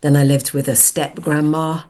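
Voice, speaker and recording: A woman's voice: low voice, flat delivery, moderate pace, dark tone. A woman in her seventies, a podcast guest, telling stories from her childhood in a reflective, conversational way. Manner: reflective, storytelling. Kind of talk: casual conversational interview.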